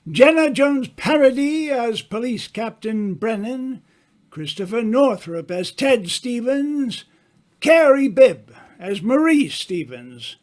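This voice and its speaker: High-voiced male radio drama announcer. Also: expressive, slow, darkish tone. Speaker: announcer reading closing credits.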